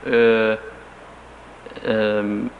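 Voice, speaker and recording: A man with a German accent, German being his first language, answering interview questions in a halting, careful way with frequent restarts, on a dull lo-fi tape with faint hiss. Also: on the low side, flat delivery, vocal fry.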